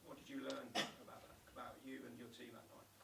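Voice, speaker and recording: A hoarse reporter who is losing his voice asks quick, monotone questions, his tone gravelly. He is far from the mic in an echoing room, with loud noise over the sound. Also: medium pitch. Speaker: sports journalist.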